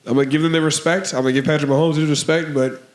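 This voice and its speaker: A man co-hosting a podcast, slightly hoarse from allergies, rambling through casual sports talk with lots of "man" and "bro". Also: fairly high pitch, steady tone, very fast, echoey room. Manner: rambling, filler-heavy, says "man" and "bro". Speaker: podcast co-host.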